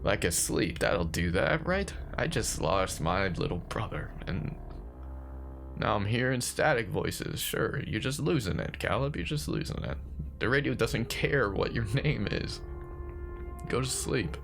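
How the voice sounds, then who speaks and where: Man acting anxious, gravelly haunted brother over music. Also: lowish voice, very animated, unhurried, a bit bright, heavily acted. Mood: shaken, self-doubting. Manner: jittery, stammering, self-questioning. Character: anxious grieving man, haunted older brother recording voice notes. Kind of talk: found-footage horror audio monologue, confessional voice notes.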